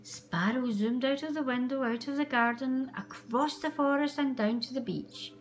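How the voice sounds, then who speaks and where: A woman reading a children's storybook aloud as an animated narrator, with music behind her. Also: sits high, moderate pace, slight background noise, low-quality recording. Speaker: storybook reader.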